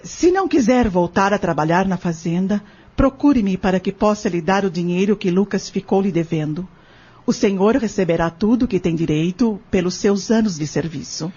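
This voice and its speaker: A calm, forgiving lady of the farm in an audio drama, played by a woman. Her husky voice is reassuring yet firm, at a medium pitch. The recording is slightly muffled. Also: moderate pace, lightly acted. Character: forgiving, fair-minded farm lady offering a job.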